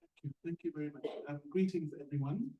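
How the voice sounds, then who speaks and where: A man with a southern African accent giving a measured, earnest speech as an activist on a panel. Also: a little high, lo-fi sound. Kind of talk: prepared public panel speech. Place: echoey room at a panel event. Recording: echoey room, distant mic.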